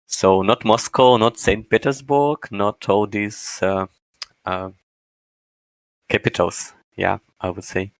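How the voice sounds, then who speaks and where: A man's voice: lowish voice, steady tone, takes it slowly, no echo, poor audio. A man in his twenties from Russia, speaking English as an interview guest, hesitant and searching for words. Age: 20s. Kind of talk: casual interview answers.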